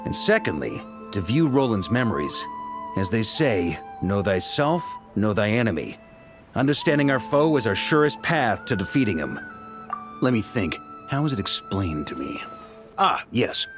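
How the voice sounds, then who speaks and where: A composed, scholarly young knight from a fantasy game. He explains things patiently, and his pitch rises and falls a lot. Game music plays clearly behind him. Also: normal speed. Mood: explaining. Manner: calm, articulate explainer.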